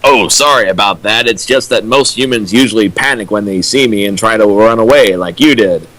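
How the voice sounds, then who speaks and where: A man's voice: lowish voice, brisk, a bit bright, rough recording. A young man reading character lines from a fanfic and mocking it with dry, pointed questions. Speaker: co-riffer. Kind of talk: comedic dramatic reading and riffing.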